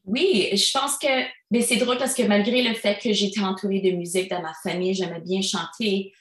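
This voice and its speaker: A woman's voice: medium pitch, steady tone, brisk, reverberant. A Franco-Albertan woman in her thirties, a singer-songwriter guest, talking in a casual, chatty way with many fillers. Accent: Franco-Albertan French. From Alberta, Canada. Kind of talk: casual interview talk.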